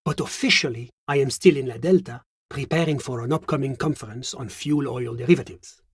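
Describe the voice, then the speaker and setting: An actor playing a suave, evasive government bureaucrat in a video game, with a French accent and a formal, polite, measured delivery. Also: male voice, fairly high pitch, no room sound, clean studio sound. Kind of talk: scripted game character dialogue.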